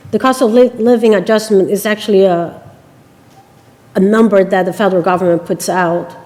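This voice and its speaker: A woman's voice: mid-range voice, warm tone. A woman with a Brazilian Portuguese accent puts persistent questions at a committee meeting, stopping and restarting her sentences as she works toward the point. Her voice sits in a mid range and trails in a long reverb from the meeting room. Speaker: finance committee member. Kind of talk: public meeting discussion.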